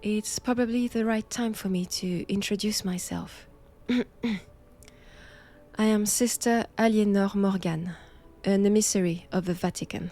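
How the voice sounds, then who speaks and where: A woman playing a solemn, assured Vatican nun who hunts knowledge of vampires, with a French accent and a hushed, deliberate delivery in an intimate audio roleplay. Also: medium pitch, steady tone, natural voice. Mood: calm, assured.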